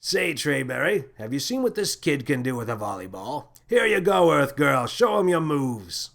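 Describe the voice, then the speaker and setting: A man's voice: mid-range voice, very animated, moderate pace, vocal fry, a bit bright. A man voicing a brash, bumbling space captain with a pompous, emphatic delivery in a sci-fi audio storybook. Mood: boastful, bright.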